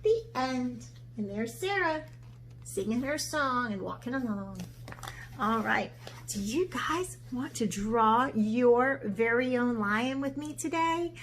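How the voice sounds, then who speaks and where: A woman's voice: a little high, takes it slowly. A woman who teaches children's art, speaking expressively and encouragingly, as for a read-aloud or step-by-step lesson. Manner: encouraging, expressive, asks checking questions.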